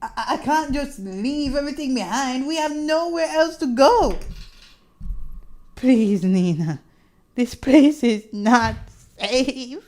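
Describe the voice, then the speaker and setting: A woman's voice: high-pitched, lively intonation, slow, a little mellow, a little hiss or hum, pitched above the performer's own voice. A woman voicing a frightened, pleading female caretaker in a visual novel, tearful and wailing. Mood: desperate pleading.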